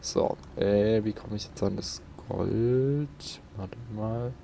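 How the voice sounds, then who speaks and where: A man's voice: lowish voice, slow, gravelly, mellow, slight background noise. A man doing casual let's play commentary and reading in-game notes aloud, close to the microphone.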